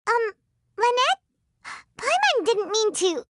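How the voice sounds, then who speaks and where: A woman voicing a small excitable fairy companion girl who calls herself by name in a video game. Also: extremely high pitch, slow, a little hiss or hum, full character voice. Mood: sheepish. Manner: chirpy, talks about herself by name. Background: game music.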